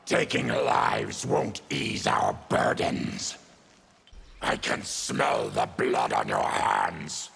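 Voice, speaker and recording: An actor playing a stern, wary protector of his people in a fighting game, with a hoarse rasping growl. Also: extremely low pitch, wildly swooping pitch, drawn-out words, heavily acted. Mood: stern, accusing. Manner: stern, forceful. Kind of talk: fighting game character dialogue.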